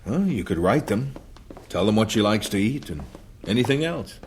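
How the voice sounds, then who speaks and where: Low-voiced, patient missionary husband. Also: male voice, very animated, normal speed, darkish tone, a little hiss or hum. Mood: gentle, soothing. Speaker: actor in an audio drama. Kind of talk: dramatized audio drama dialogue.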